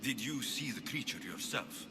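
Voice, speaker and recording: A man playing a resolute, practical warrior hero with a gentle side, speaking in a measured, terse, determined way in a dramatic video game style. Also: mid-range voice, expressive, gravelly, background noise. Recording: music playing under dialogue.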